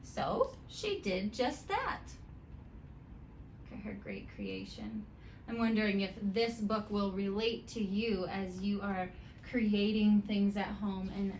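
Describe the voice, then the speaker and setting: A woman reading a picture book aloud to children, expressively and with deliberate emphasis. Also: mid-range voice, a little hiss or hum. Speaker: children's read-aloud teacher. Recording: roomy, echoey indoor sound.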